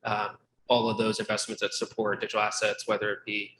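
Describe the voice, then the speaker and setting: A man speaking as a panelist about his investment role, quick and a little self-deprecating. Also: fairly low pitch, monotone. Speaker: endowment investment staff, panelist. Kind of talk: conference panel remarks. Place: conference room with live audience. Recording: echoey room, faint hum.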